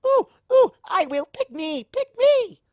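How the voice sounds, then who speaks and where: Man squealing as a hyper, very high pony girl. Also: sing-song, slow pace, no room sound, clean studio sound, heavily acted. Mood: overexcited. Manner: squealing, overexcited. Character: hyper, eager, bouncy young female party pony. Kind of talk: cartoon fan fiction character reading.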